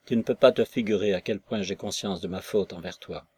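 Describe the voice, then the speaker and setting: A man's voice: deep voice, a little fast, a little mellow, husky. A man voicing a remorseful nobleman who speaks in an earnest, pleading way.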